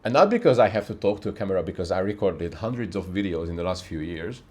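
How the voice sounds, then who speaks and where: Man, very low voice, non-native english, earnest, restarts a lot, home studio vlog. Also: very animated, fast, darkish tone. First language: not English. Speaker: YouTube creator. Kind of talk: unscripted talking-head vlog. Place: dark room, home studio with softbox. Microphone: camera mic facing the speaker.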